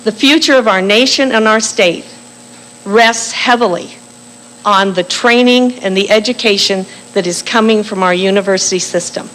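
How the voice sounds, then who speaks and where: An older female candidate giving a firm, deliberate campaign speech at a cafe forum, slowing down for emphasis. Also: mid-range voice, fairly bright tone, a little hiss or hum, low-quality recording. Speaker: political candidate. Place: bakery cafe forum room.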